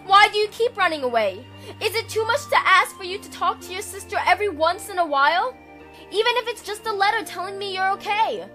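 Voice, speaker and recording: Proud young heroine general played by a woman, high voice, commanding but easily flustered, fantasy audio drama over music. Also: pushed and bright, exaggerated voice. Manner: commanding, quick to fluster and scold. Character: proud young female general, warrior hero, hurt sister. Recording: background music under the voice.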